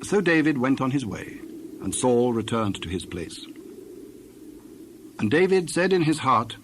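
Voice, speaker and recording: A man of medium pitch narrates scripture over audible background noise and birds. His reading is formal and measured, as scripted narration for an audio drama. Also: very animated.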